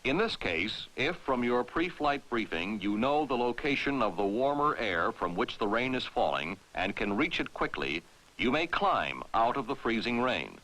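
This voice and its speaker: An American man narrating a 1960s military training film in a formal, authoritative, clearly enunciated way, on a vintage film soundtrack. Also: medium pitch, big pitch swings, normal speed, fairly bright tone, low-quality recording.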